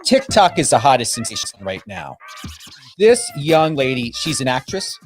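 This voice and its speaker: A man's voice: a little high, big pitch swings, moderate pace, gravelly, faint music behind. A man with a New Jersey accent hosting a radio show, talking in an emphatic, brash, hyped way with repetition and casual swearing. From New Jersey, United States. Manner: emphatic, brash, swears freely, repeats himself. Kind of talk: hyped radio guest introduction.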